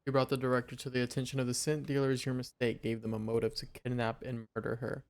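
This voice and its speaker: A man's voice: mid-range voice, level tone, fast talker. A man reacting casually to a game as he streams it, with informal interjections. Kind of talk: casual live playthrough reaction.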